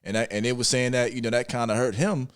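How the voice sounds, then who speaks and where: A man's voice: medium pitch, steady tone, fast talker, a little hiss or hum. An American man chatting casually as a podcast guest, blunt and informal with his opinions. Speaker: podcast guest discussing music.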